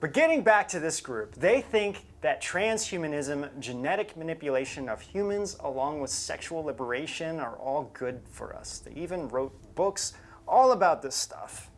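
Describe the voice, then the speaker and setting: A male co-host with a very animated, varied pitch. He narrates scripted material like a story, stressing points emphatically while keeping it conversational. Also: moderate pace. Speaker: show co-host.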